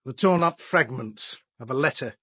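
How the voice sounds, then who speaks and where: An actor playing a measured, dryly sceptical police inspector questioning suspects in a radio play. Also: male voice, mid-range voice, expressive, bright, forceful tone, slightly rough, no echo, clean studio sound.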